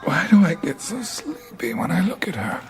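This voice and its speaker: An actor playing a drowsy, curious adventurer in a radio drama, with a hesitant, questioning, musing way of talking. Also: male voice, a little high, very animated, normal speed. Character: drowsy, puzzled male adventurer, curious and wry. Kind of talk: naturalistic radio drama acting. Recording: loud music under the dialogue.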